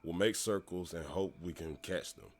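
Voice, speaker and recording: A man voicing a weary, guilt-burdened man in an amateur comic dub, speaking in a halting, somber way over music. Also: sits low. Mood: weary, regretful. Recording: music behind the voice.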